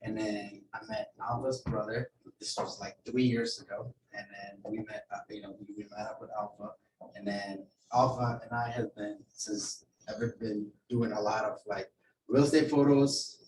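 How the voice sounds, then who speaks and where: A man's voice: on the low side, a little mellow. Ethiopian-born man, hesitant, echoey room. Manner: hesitant, many fillers. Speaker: guest photographer. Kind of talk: informal self-introduction and pitch. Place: office meeting room. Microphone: room camera mic from a distance. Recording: echoey room, distant mic, faint hum.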